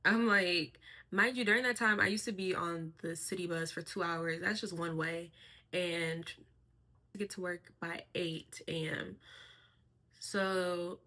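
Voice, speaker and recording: A young woman talking casually to her camera in a personal vlog, soft-spoken and reflective, with a voice she calls monotone. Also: fairly low pitch, unhurried. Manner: soft-spoken, reflective, with frequent fillers and light laughs. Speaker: vlogger.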